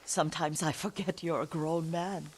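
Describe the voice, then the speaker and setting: An actress playing a gentle, wistful elderly Japanese woman servant in a video game, unhurried and motherly as she fondly scolds. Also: sits low, fairly even intonation, slight background noise, lightly acted. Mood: fond, scolding. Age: older. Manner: unhurried, motherly, sometimes forgetful and trailing. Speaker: game voice actor. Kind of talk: dramatic video game acting.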